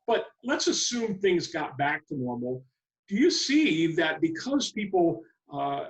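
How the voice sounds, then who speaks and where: A man with a fairly high voice co-hosts a sports podcast. He interviews in a chatty, conversational way, setting out context before asking. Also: rough recording.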